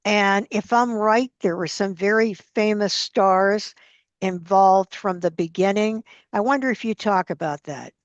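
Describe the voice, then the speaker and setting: A woman's voice: mid-range voice, unhurried, no echo. A woman hosting a radio interview show, enthusiastic and asking her guest leading questions. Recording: dry, slightly dull lo-fi audio.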